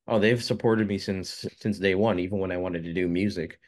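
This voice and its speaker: A man's voice: deep voice, fairly even intonation, fairly quick. A young man from Jamaica, a filmmaker, answering interview questions casually with many fillers and restarts. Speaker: filmmaker guest.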